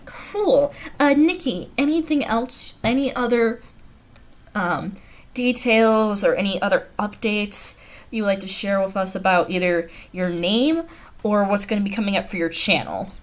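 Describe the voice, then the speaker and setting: A woman's voice: medium pitch, unhurried. A woman with an American accent hosting a livestream, chatting informally and giggly as she reads out viewer comments. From the Carolinas, United States. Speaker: livestream host. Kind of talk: casual livestream chat, reading viewer comments aloud. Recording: noisy home livestream audio.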